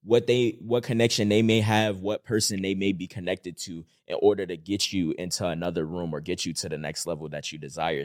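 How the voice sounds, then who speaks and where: Low-voiced man giving earnest advice. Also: no echo, clean studio sound. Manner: earnest, encouraging, filler-heavy with "like". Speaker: podcast co-host. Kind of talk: casual podcast chat.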